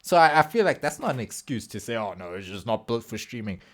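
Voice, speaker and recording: A man's voice: mid-range voice, big pitch swings, fast. A man giving casual, blunt, slangy reaction commentary on video clips. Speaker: reaction streamer.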